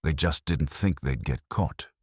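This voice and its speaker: A man's voice: extremely low pitch, unhurried, slightly rough, no echo, clean studio sound, full character voice. A man voicing a breezy, wisecracking detective's assistant with slangy, wry talk, in an audiobook. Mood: flippant.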